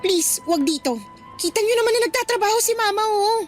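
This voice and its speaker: A devoted young daughter in a radio drama. Her voice is high, earnest and emotional, protective and outspoken, with music behind her. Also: animated, a little fast. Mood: upset, defiant. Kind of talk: Filipino radio drama acting.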